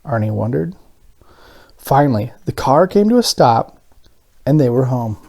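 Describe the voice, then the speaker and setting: A man reads a children's picture book aloud. His voice is mellow and relaxed, with lively, varied intonation that carries the narration expressively. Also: medium pitch, measured pace, gravelly.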